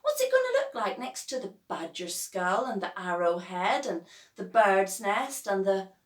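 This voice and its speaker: A woman's voice: medium pitch, big pitch swings, unhurried. A woman voicing a dramatic young girl in a children's story, complaining with exaggerated emphasis. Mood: teasing. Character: Beaky, loud dramatic breathless young girl. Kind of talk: children's audiobook character voice. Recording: echoey room, distant from mic.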